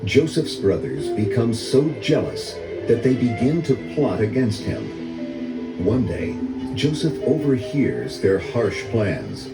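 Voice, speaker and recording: Low-voiced older man narrating, highly expressive. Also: gravelly. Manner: measured dramatic storytelling. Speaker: read-along story narrator. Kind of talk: scripted children's story narration. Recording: roomy echo, music under voice. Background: orchestral music.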